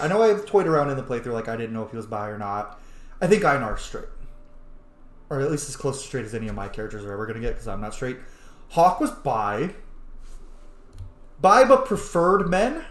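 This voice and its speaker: A man's voice: mid-range voice, big pitch swings, fast, a bit bright, echoey room. A man giving casual, chatty gameplay commentary, exclaiming and swearing as he plays. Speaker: let's player narrating gameplay.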